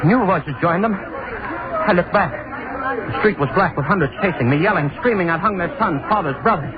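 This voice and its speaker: A man's voice: high voice, lively intonation, normal speed, over a noisy crowd. An actor playing a hangman who narrates his own story as he slides into madness, in a 1930s radio play. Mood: hysterical. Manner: brooding first-person narration, turning hysterical. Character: gloating hangman sliding into madness. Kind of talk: 1930s radio drama acting. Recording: tinny, very hissy 1930s radio transcription.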